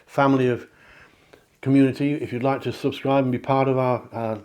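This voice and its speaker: A man's voice: medium pitch, flat delivery, brisk. Chatty man giving a card reading. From England. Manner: chatty, self-correcting, gently encouraging. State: slightly hoarse, clearing throat. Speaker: psychic card reader. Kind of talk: tarot-style card reading to camera. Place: home near the sea.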